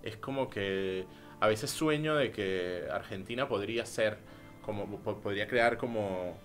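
Guy from venezuela chatting at a studio mic, halting and thoughtful, soft music under it. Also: fairly low pitch. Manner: hesitant, searching for words, restarts. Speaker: guest artist. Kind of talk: casual interview chat. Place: small radio studio. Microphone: studio microphone with headphones.

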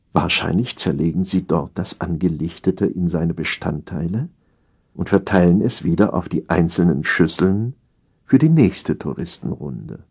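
A man reading a scripted humorous story as an audiobook narrator, in an expressive, theatrical storytelling manner. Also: deep voice, gravelly, breathy edge.

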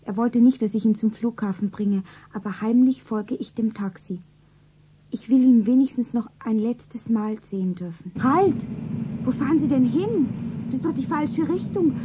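An actress playing a lovelorn, naive young shopgirl, plaintive and earnest, with a soft breathy voice in a radio drama. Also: a little high, slight background noise, natural voice, rough recording. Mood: anxious, hurt. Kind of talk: stylised radio drama, self-narrating.

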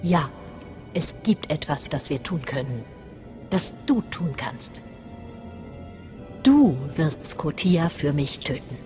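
A woman playing a scheming, cold and deliberate Sith master in a video game. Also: low-pitched, animated, warm tone. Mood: conspiratorial. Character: scheming, bitter female Sith master plotting against a rival. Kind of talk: scripted video game acting in German. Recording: long reverb, music under voice.

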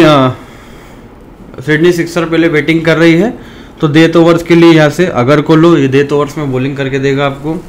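A man's voice: fairly high pitch, slight background noise. A man from India with a sore throat, talking casually to his viewers, calling them brothers and ranting now and then. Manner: chatty, addresses viewers as brothers, animated rants. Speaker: fantasy cricket YouTuber.

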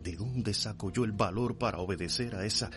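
An actor playing an anguished man of conscience, thinking aloud with dramatic pauses in a radio soap opera. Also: lowish voice, natural voice. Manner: dramatic inner monologue with pauses. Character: anguished man of conscience forced to obey a cruel master. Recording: loud music behind the voice.

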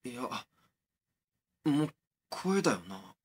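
A man playing an uneasy young man in a horror audio drama, muttering hesitantly to himself in a husky, breathy voice. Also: medium pitch, very animated, slow pace, heavily acted. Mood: alarmed, uneasy. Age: young adult. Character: uneasy young man examining a mysterious tape.

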